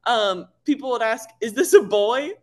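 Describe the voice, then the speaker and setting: A woman from Missouri with a deep voice, a guest chatting casually in an interview, a little nervous and laughing easily. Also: a little slow, darkish tone. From Missouri, USA. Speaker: guest, bikini athlete.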